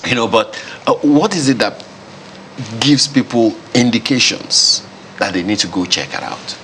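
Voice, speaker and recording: A man's voice: medium pitch, expressive, unhurried, a bit bright, a little hiss or hum. A man hosting a TV studio discussion, talking casually and searching for words with fillers. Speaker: TV talk show host.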